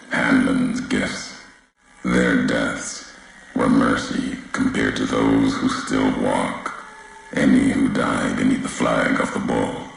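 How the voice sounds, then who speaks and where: A male actor playing a brooding, embittered courier and former soldier in a video game, speaking solemnly and cryptically in weighty, deliberate phrases with heavy pauses. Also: heavily acted. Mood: grave, accusing. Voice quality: very deep, gravelly. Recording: roomy echo, faint background noise.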